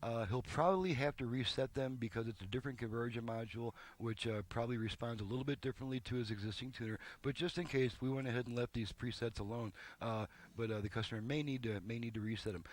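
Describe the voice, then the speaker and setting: A man's voice: mid-range voice, fast, hardly any pauses. A man with a mid-range voice talks through a hands-on test like a repairman at work. He explains each step casually, in plain-spoken, folksy terms. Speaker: car radio repairman and presenter.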